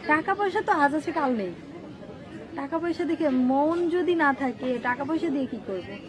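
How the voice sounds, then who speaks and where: A young woman around twenty speaks with a Bangladeshi accent in a high-pitched voice. She answers as a guest in a casual interview. A crowd can clearly be heard in the background. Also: expressive, moderate pace. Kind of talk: casual interview answers about personal life.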